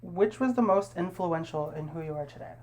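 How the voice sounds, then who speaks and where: A woman's voice: lowish voice, animated, fairly quick, a little mellow. A young woman hosting a casual interview podcast, talking in a laid-back way with lots of fillers. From United States. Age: young adult. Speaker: podcast host.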